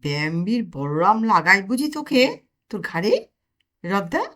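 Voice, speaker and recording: A woman's voice: mid-range voice, sing-song, normal speed, a bit bright. A woman voicing a lazy, mischievous young man who teases his friend with mock drama. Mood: mock-dramatic.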